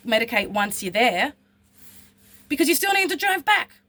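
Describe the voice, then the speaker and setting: A woman's voice: high voice, a bit bright. A woman, talking bluntly and with frustration in a personal vlog. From Australia. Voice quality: husky. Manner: blunt, frustrated, swears freely. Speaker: vlogger.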